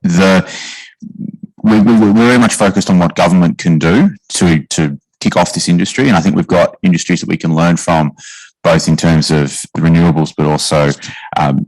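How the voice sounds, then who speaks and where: A deep-voiced man moderates a conference panel and puts questions to the panel. He repeats words and restarts phrases as he goes. The sound is dry and close. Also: no echo, rough recording.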